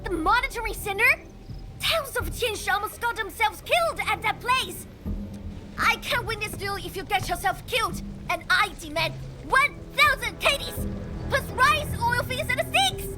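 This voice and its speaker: A woman voicing a brash, boastful, playful young feline girl in a game, childlike and excitable. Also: normal speed. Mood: demanding. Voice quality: childlike, high. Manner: cocky, excitable, exclaiming. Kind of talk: anime game voice acting. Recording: loud game music behind.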